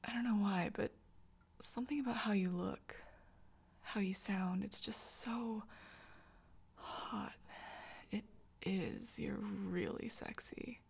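Woman doing an intense soft girlfriend, close whispery voice w a gravelly edge, stammers when flustered. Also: mid-range voice, slow, long pauses, full character voice. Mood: tender confession. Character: intense, tender young girlfriend. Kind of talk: whispered audio roleplay.

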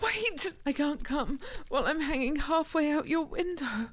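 A woman voicing a playful young catgirl who teases in breathy whispers, meows and purring growls. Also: high voice, lively intonation, a little slow, slight background noise. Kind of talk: solo audio roleplay.

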